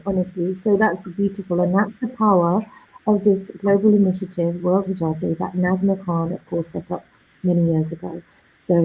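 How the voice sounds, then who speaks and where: Woman, panel moderator, strongly husky rough voice, mellow tone, hosting delivery. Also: medium pitch, rough recording. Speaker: conference moderator.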